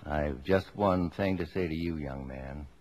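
An actor playing a middle-aged, dry and proud but fond father of a big family in measured, formal 1950s radio drama style. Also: very deep voice, a little mellow, exaggerated voice. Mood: fond, dryly proud. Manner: measured, formal, dryly understated.

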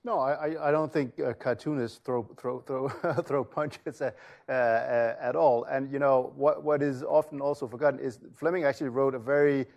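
Gravelly-voiced author answering on a panel. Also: male voice, mid-range voice, fairly even intonation, moderate pace, darkish tone, lo-fi sound. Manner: earnest, analytical, searching for words.

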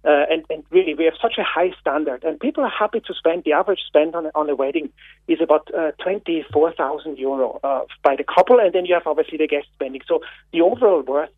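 Austrian man, radio phone-in guest, halting speech full of fillers, slightly high voice, tinny phone-line sound, dry. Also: no room sound. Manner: halting, with many fillers and repeated words. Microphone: telephone.

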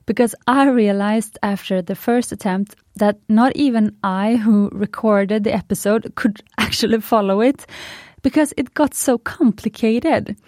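A woman's voice: medium pitch, a little slow, a little mellow, no echo, studio quality. Chatty Swedish-native woman podcast host. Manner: chatty, enthusiastic, fond of puns. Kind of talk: scripted podcast monologue.

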